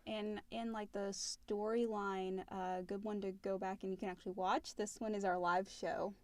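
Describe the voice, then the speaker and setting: Female podcast co-host, medium pitch, conversational and supportive, short clarifying interjections, close dry recording. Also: level tone, normal speed, darkish tone, no echo. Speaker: podcast co-host reading out fan questions. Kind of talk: casual question-and-answer chat.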